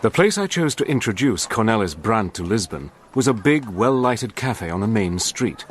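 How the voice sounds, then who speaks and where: An actor playing a cool, determined wartime adventurer, telling his own story in measured, dramatic narration. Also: on the low side, big pitch swings, a little hiss or hum. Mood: measured, reflective. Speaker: narrator and lead character. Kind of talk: dramatic first-person scripted narration.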